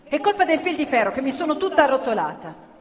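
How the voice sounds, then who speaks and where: A woman's voice: high voice, sing-song, fast. An actress playing a poor, cheeky young working-class girl on stage, blunt and teasing. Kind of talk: stage theatre acting. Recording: live stage recording in a reverberant theatre with violin.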